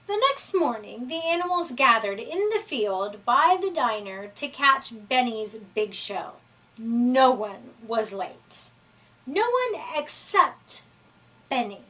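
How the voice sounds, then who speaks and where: A woman reading a children's story aloud in an expressive, deliberate way, recorded at a distance from the microphone. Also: fairly high pitch. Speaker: storybook reader.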